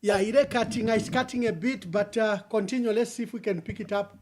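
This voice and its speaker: Keep it short and courteous, a high-pitched host taking calls over light noise. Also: male voice, steady tone, a little fast, darkish tone. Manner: brief, polite prompts to callers. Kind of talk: live call-in show.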